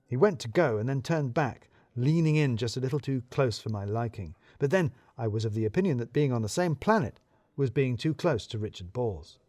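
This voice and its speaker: A man reads first-person fiction as its narrator. His delivery is wry and deadpan, at an average male pitch. The recording is dry, with hardly any room sound. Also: brisk, dry recording.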